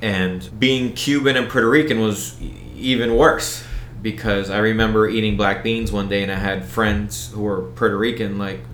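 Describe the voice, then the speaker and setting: A man's voice: on the low side, steady tone, normal speed, few pauses, fairly bright tone, echoey room, a little hiss or hum. A man with an American accent, talking casually as an interview guest and circling back through his sentences. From United States. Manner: rambling, many restarts and fillers. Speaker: event organizer, guest.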